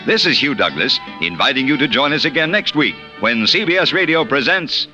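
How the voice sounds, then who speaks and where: A man's voice: medium pitch, lively intonation, brisk. A man announcing the closing credits of a 1950s radio show in a formal, polished style. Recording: dull vintage radio recording. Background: loud brassy theme music.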